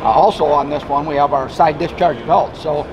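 A man's voice: a little high, steady tone, normal speed, muffled recording. A man giving a casual product demonstration as a company representative, explaining things in a plainspoken, agreeable way. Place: busy indoor trade show floor. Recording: busy trade show crowd noise.

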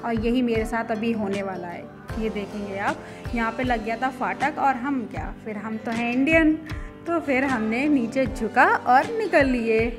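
A young woman narrates a vlog voice-over in a light, joking manner. Her voice sits a little high, and music plays loudly underneath. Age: young adult. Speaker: vlogger.

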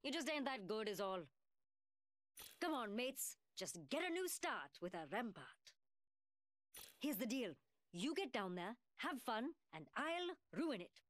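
Casting: brash young London woman gunsmith for a shooter game, highish voice, very animated swoops, sarcastic taunts in a working-class British accent. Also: a little slow, no echo. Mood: scornful. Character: cocky, mocking young woman gunsmith fighter, brash and cheeky. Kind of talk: video game character voice lines.

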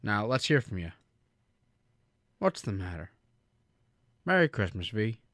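A man's voice: on the low side, very animated, unhurried, a bit bright, no room sound, studio quality. A man acting in a radio drama as an earnest, kindly but easily frantic small-town businessman, folksy and emphatic, with an American accent. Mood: warm, teasing. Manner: folksy, emphatic, stammering when pressed.

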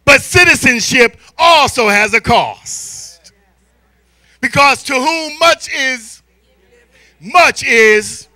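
A middle-aged American man delivers a sermon in a very bright, forceful voice. His delivery is slow and theatrical, stretching key words for emphasis. The pitch moves in lively sweeps as he tells stories to his congregation. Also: dry recording. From born in Spain, American military family. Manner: animated, theatrical, drawn-out emphasis, swinging from quiet asides to shouts. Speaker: church elder preaching. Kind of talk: sermon with storytelling and call-and-response to congregation. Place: large church hall, indoors. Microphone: handheld microphone.

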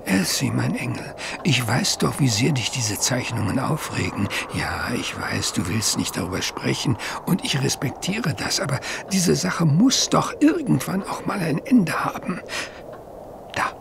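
An actor playing a protective, exasperated husband in a German audio drama, gravelly and urgent. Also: male voice, mid-range voice, very animated, unhurried, background noise, exaggerated voice. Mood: tense, determined.

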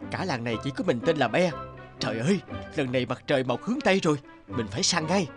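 An actor playing a meek, naive young poor villager in a fairy-tale cartoon, speaking earnestly and plaintively. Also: male voice, medium pitch, lively intonation, fast talker, over music, natural voice. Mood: hopeful.

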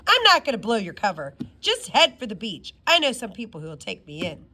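Storybook schoolgirl, acted by a woman: cheeky, dryly witty, very animated delivery. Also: medium pitch, fairly quick, exaggerated voice. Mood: breezy candor. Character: cheeky, self-assured schoolgirl with sly wit.

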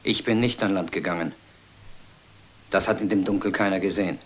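A man plays a timid naval officer in a radio crime play. His voice is fairly low. He talks hesitantly and earnestly, with a dejected, confessional manner. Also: fairly quick, lightly acted. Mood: anxious, confessing. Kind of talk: German detective radio play acting.

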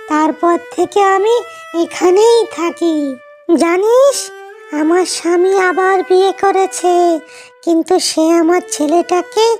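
A man's voice: very high-pitched, darkish tone. A man voicing a female ghost in a cartoon, by turns threatening and protectively motherly. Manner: threatening, then protective and tender. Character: menacing but motherly female ghost, wronged wife. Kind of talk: Bengali cartoon ghost-story acting.

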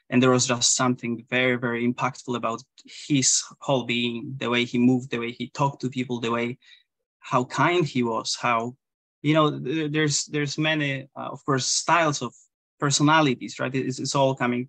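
Polish guy on a video call, kinda gravelly, lots of um and restarts, dry webcam sound. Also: medium pitch, fairly bright tone, no room sound. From Poland. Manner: hesitant, many restarts and fillers. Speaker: guest, Zen priest. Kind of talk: casual interview over video call. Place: small room indoors.

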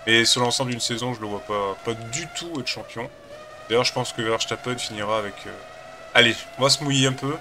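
A man's voice: medium pitch, brisk, over music. Man with a cold chatting over gameplay. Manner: chatty, rambling, hesitant with many fillers. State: has a cold. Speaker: gaming channel host. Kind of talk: casual commentary while playing a racing game.

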